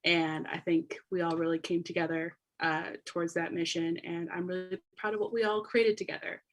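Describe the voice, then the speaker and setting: Low-voiced woman, gushing and informal. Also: little pitch movement, moderate pace. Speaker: writer and co-host. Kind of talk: casual live show introduction.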